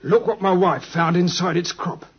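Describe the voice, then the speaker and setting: A man's voice: sits high, normal speed, gravelly, slightly rough, full character voice. A man acting a flustered, awestruck working-class London fellow, stammering and deferential, in an old British radio drama. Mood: agitated. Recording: dull vintage radio recording.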